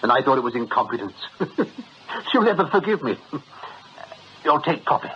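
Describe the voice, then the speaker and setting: A man's voice: mid-range voice, big pitch swings, moderate pace, lightly acted. An actor playing a jovial employment agency boss, hearty and effusive, chuckling as he talks. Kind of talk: radio drama acting. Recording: dull, hissy radio drama recording.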